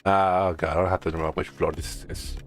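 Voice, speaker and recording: A man giving casual video game commentary as a streamer, haltingly thinking aloud and often repeating himself. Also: deep voice, level tone, soft background music.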